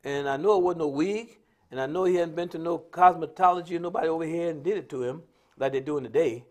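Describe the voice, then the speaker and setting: A man's voice: fairly high pitch, fast, darkish tone. An American man speaking off the cuff in a preaching style on a religious talk stream, emphatic and repetitive, with colloquial storytelling. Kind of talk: impromptu preaching-style social commentary.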